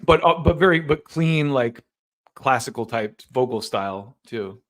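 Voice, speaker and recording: An easygoing man hosts a casual live interview. His voice sits at a middle pitch, relaxed and conversational. He agrees readily and laughs along with his guest. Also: unhurried. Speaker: podcast host.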